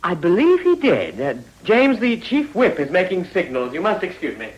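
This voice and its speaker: An urbane politician in a British period drama. His upper-class English voice is husky and varied in pitch, delivered drily and evasively in short, polished replies. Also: huge pitch swings, normal speed, highs cut off, heavily acted. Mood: wry, evasive.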